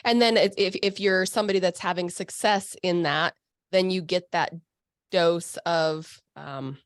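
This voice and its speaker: A woman's voice: fairly low pitch, fairly even intonation, unhurried, dry recording. A woman hosting a podcast, talking in a bubbly, effusive way, full of exclamations. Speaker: podcast host. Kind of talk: casual interview chat. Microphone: video call microphone.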